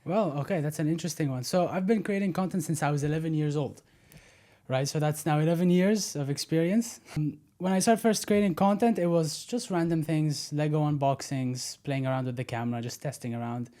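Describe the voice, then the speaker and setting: A confident young man in his twenties talks as a podcast guest, direct and methodical. His voice sits a bit high for a man. He grew up between the Netherlands and Egypt and lays out his points plainly. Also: brisk, mellow. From born in the Netherlands, raised in Egypt. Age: 20s. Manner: confident, direct, explains step by step. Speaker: podcast guest, personal branding strategist. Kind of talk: casual interview conversation.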